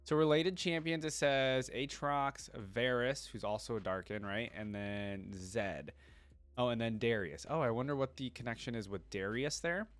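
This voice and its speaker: A man's voice: mid-range voice, normal speed, no echo. A man giving casual, curious reaction commentary as the host of a video, often interjecting short remarks.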